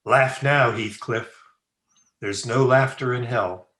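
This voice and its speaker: A man plays a broken, drink-sodden soul from a gothic radio play. He speaks with bitter, theatrical accusation at a middling pitch. The sound is roomy and echoing, as from a home recording. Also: slow pace, a bit bright, slight background noise. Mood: cursing. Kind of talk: old-time radio acting.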